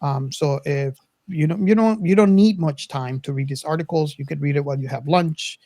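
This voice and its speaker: A podcast host talking casually to listeners and co-hosts, haltingly and searching for words. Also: mid-range voice, warm tone, dry recording.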